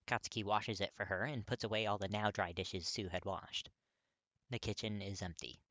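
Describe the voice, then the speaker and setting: Expressive fiction narrator in a dry, close recording. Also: low-pitched, moderate pace, no echo. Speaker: podfic narrator. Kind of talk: scripted fiction narration, expressive audiobook reading.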